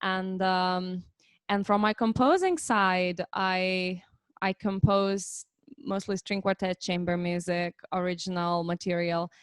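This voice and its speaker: A woman's voice: on the low side, fairly even intonation, slow, clean tone, no echo. Woman guest, 30s, thoughtful and hesitant, dry video-call audio. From Lithuania. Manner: thoughtful, hesitant, many fillers. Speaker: musician guest. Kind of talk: reflective interview answers. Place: home, online interview.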